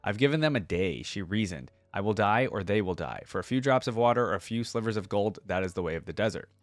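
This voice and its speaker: Male host, lowish voice, dry close sound; relaxed colloquial delivery for lore reading and casual commentary. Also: quick pace, no room sound, faint music behind. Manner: laid-back, colloquial fan talk. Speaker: reaction video host reading lore aloud.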